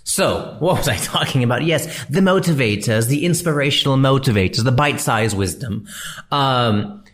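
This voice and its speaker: A man's voice: mid-range voice, expressive, moderate pace, fairly bright tone. A man who hosts a podcast and does comedy, giving casual, slangy advice to a listener and laughing at his own jokes. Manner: chatty and slangy, calls listener bro and dude.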